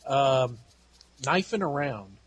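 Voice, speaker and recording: A man's voice: medium pitch, big pitch swings, takes it slowly, slightly rough. A man chatting casually on a fan podcast, hesitant with many fillers as he retells scenes. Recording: faint background noise.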